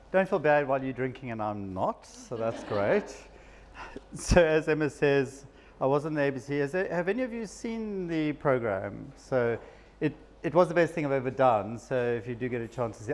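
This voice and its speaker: A South African man speaking to a room of listeners in a loose, chatty way, poking fun at himself as he goes. His voice is at a middle pitch, and faint noise hums behind him. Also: darkish tone. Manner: chatty, self-deprecating, with frequent laughs. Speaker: psychiatrist giving a talk. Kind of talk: informal live talk to an audience. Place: event room with an audience.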